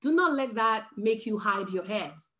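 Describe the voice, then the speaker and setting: A woman's voice: on the high side, lively intonation, measured pace, a bit bright. A woman from Nigeria speaking on a video-call webinar panel, earnest and urging as she encourages parents. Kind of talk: passionate webinar talk.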